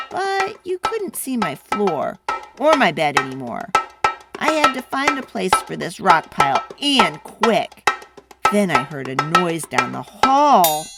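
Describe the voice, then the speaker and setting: A woman hosting a children's read-aloud, speaking with expressive, deliberate, dramatic storybook phrasing. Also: medium pitch.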